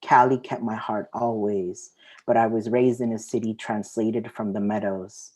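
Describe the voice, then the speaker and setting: A woman's voice: extremely low pitch, fairly even intonation, unhurried, warm tone, low-quality recording. A woman, sharing her poetry at an open mic. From Mexico, raised partly in the US Southwest. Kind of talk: spoken-word poetry reading with casual introduction.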